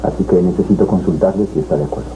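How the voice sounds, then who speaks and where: A man's voice: low voice, very fast, dark tone, natural voice. A middle-aged man playing a hesitant, reluctant landowner in a 1970s telenovela. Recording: noisy old TV videotape.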